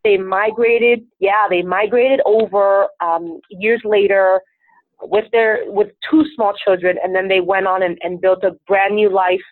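A woman's voice: medium pitch, steady tone, unhurried, dry recording. A woman from Venezuela whose first language is Spanish, a life coach answering interview questions in an earnest, upbeat, self-assured way. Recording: tinny phone-like line, dry.

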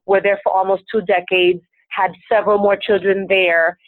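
A woman's voice: medium pitch, flat delivery, moderate pace, dry recording. A woman from Venezuela whose first language is Spanish, a life coach answering interview questions in an earnest, upbeat, self-assured way. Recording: tinny phone-like line, dry.

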